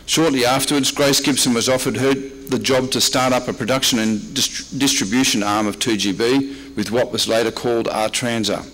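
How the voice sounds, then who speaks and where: A man's voice: mid-range voice, steady tone, moderate pace, hardly any pauses, a bit bright. A man with an Australian accent giving a prepared history lecture, largely read aloud, in a reverberant convention room. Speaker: historian and archivist giving a talk.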